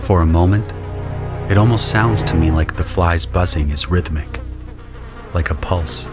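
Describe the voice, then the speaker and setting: A man playing a grim, observant conscript soldier who narrates an audio drama in a measured, solemn way. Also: low voice, over music. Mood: grim, watchful. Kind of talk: first-person scripted audio drama narration.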